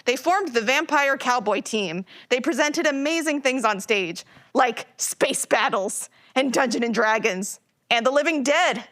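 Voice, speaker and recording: A woman's voice: high voice, lively intonation, a little slow, a bit bright. An actress playing an enthusiastic geeky woman gamer in a live audio play, explaining things emphatically with casual slang. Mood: eager, explanatory.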